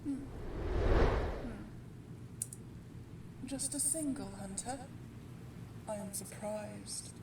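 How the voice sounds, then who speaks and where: A woman's voice: medium pitch, slow, recorded from a distance, heavy background noise, lightly acted. A woman playing an ancient vampire baroness in an ASMR roleplay, a mocking, seductive villain with a taunting, theatrical delivery. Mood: cold, mocking. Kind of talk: ASMR audio roleplay acting.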